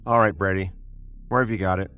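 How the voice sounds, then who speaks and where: An American actor playing a brusque, impatient middle-aged spaceship commander in a 1950s radio drama, commanding and sardonic. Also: male voice, deep voice, fairly even intonation, brisk, noisy background, close to an everyday voice. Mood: exasperated.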